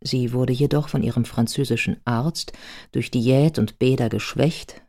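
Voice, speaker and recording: A man's voice: medium pitch, steady tone, unhurried, gravelly, a little mellow. A man narrating scripted biography in a radio play in a matter-of-fact manner.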